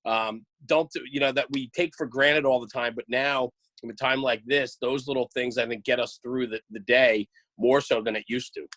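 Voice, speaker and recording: Male guest, average pitch, casual and thoughtful panel talk. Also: fairly even intonation, moderate pace, a bit bright, no room sound. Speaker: podcast guest. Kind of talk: casual chat, reflective.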